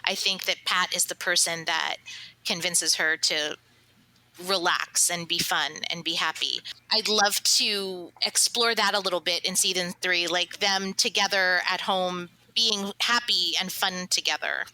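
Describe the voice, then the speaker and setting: A woman's voice: fairly low pitch, fairly even intonation, measured pace, bright, forceful tone, no echo, poor audio. A woman chatting casually as a voice actor on a cast panel, thoughtful and enthusiastic. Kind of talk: casual chat.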